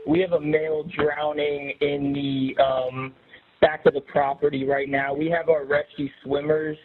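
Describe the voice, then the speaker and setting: A man's voice: a little high, level tone, a little slow. A man calling an emergency dispatcher, giving a businesslike but hesitant report over a recorded telephone line. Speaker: caller reporting an emergency, security command post. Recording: noisy, narrow recorded emergency phone line.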